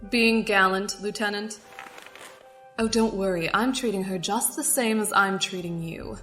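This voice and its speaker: A woman acting in an audio drama as a controlled, condescending security captain with a formal, measured manner and polite menace. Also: mid-range voice, a bit bright, faint music behind, close to an everyday voice. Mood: smug, controlled.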